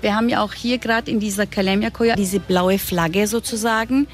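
A young woman from southern Germany, answering interview questions in a relaxed, friendly way. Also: mid-range voice, moderate pace. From Allgäu, Germany. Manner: friendly, laughs often, uses many fillers. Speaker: hotel staff interviewee.

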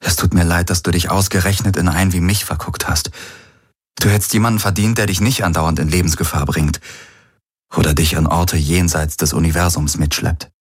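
A man's voice: deep voice, steady tone, fairly quick, slightly rough, no room sound, clean studio sound. A man voicing an anguished, devoted man in an audiobook, his voice trembling as he pleads. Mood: remorseful.

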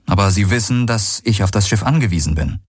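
Casting: blunt, sardonic, weary male space adventurer for sci-fi audiobook dialogue, done by a male narrator, low-pitched, very dry recording. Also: expressive, fairly quick, slightly rough, dry recording, faint music behind. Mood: reasoning.

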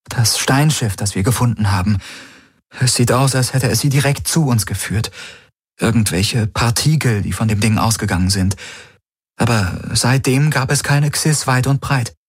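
A man voicing a caring young woman crew member in a science fiction audiobook, speaking softly and reassuringly. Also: medium pitch, normal speed, husky, no room sound, clean studio sound, voice pushed up, lightly acted. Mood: gentle. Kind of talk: audiobook character voice.

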